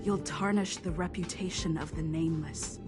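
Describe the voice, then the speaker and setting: A woman's voice: low voice, fairly even intonation, normal speed, natural voice. A woman voicing a stern, solemn, admonishing mentor in a dramatic video game scene. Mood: solemn reproach. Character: stern, imposing woman mentor, coldly reproachful. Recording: game music behind voice.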